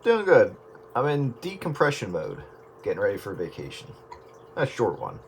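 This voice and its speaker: A man's voice: fairly low pitch, wildly swooping pitch, normal speed, gravelly, heavy background noise. A man in casual radio chat, explaining things in a laid-back, meandering way. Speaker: radio round-up participant.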